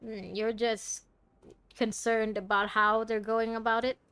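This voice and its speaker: A woman's voice: on the high side, little pitch movement, measured pace, a bit bright, clean tone. A woman gaming streamer giving casual playthrough commentary, chatting playfully with the game's characters. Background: game music.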